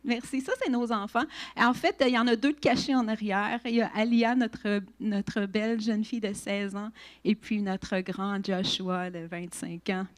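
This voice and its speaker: A woman's voice: medium pitch, steady tone, moderate pace. A woman in her fifties with a Québécois accent, introducing herself as a guest speaker at church, chatty with frequent fillers and restarts. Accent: Québécois French. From Quebec. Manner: warm, chatty, laughs often, many restarts and fillers.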